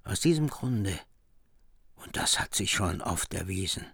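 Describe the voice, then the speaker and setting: An actor playing a grave veteran vassal with measured, weighty delivery in a German radio drama of a heroic epic. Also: male voice, low voice, lively intonation, a bit bright, slightly rough, dry recording, studio quality, heavily acted. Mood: grave storytelling. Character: grave veteran vassal recounting a hero's feats.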